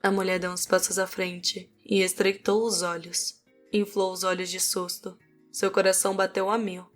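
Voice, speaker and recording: A woman's voice: mid-range voice, little pitch movement, moderate pace. A Brazilian woman reading scripted narration for a fantasy audiobook. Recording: music behind the voice. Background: music.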